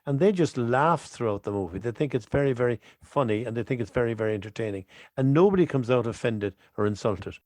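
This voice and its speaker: An Irish man speaks as an interview guest in a conversational, emphatic way. His pitch is medium and he talks quickly. The sound is dry and close, with little room around it. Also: expressive, a little mellow, no room sound. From west of Ireland. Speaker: podcast guest, film expert.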